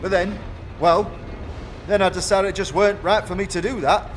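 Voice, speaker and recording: A man's voice: sits high, fairly even intonation, normal speed, slight background noise, natural voice. A man playing an indignant, blustering accused faction member who splutters and stammers, in a fantasy audio drama. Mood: pleading, self-justifying. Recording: long reverb, music behind.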